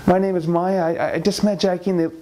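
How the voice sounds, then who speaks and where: A man voicing a polite, soft-hearted wife in her twenties in a told story. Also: high voice, little pitch movement, fast talker, slight background noise, voice pushed up, natural voice. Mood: apologetic. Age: 20s.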